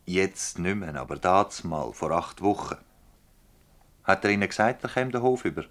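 A man's voice: deep voice, lively intonation, normal speed, a bit bright. A man playing a probing, dryly sceptical public prosecutor in a Swiss German dialect radio play, formal and ironic. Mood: probing, pressing. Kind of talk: dialect radio drama acting.

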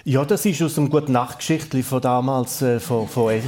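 A man's voice: mid-range voice, normal speed, darkish tone. A man in his sixties from Graubünden, a native Romansh speaker who talks Swiss German with a Romansh tinge, speaking as a guest in a thoughtful, hesitant way. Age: 60s.

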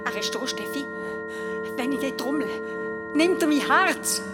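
A very high, swooping Swiss German voice of an aunt out of her mind with fear in a radio play. She pleads frantically, teetering toward a scream, over loud music and drumming. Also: lively intonation, measured pace. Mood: frantic dread. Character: panicked, half-mad aunt drumming in terror of death. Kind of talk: audio drama acting.

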